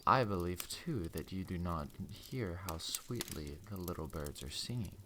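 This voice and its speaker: A man voicing a sly, wicked storybook wolf with a soft, courteous, coaxing manner. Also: deep voice, animated, measured pace, noisy background.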